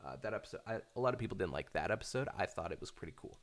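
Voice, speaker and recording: A man giving casual, unscripted fan commentary, halting often and repeating words while thinking aloud. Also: low voice, lively intonation. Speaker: host of a cartoon fan channel.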